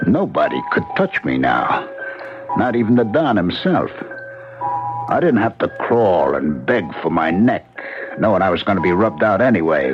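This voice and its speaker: Actor as excitable streetwise mobster, swinging pitch. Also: male voice, close to an everyday voice. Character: bewildered, scheming tough mobster trapped in a policeman's body. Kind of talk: 1970s radio drama acting with first-person narration. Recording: dull 1970s radio broadcast recording.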